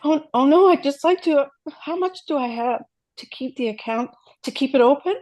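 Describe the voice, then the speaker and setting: A woman's voice: high voice, moderate pace, darkish tone, lightly acted, rough recording. A woman playing a frightened, desperate mother in a script read, hesitant and stammering. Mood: nervous, stammering. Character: frightened, desperate mother under a kidnapper's orders. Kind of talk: dramatic script table read.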